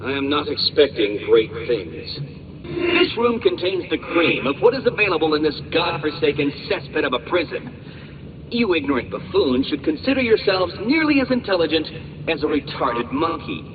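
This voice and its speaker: A smug petulant genius game villain, highish voice that swings all over, muffled echoey audio. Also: male voice, very animated, normal speed, crowd noise. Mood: smug, condescending. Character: arrogant, petulant male genius villain obsessed with riddles. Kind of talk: video game villain voice acting.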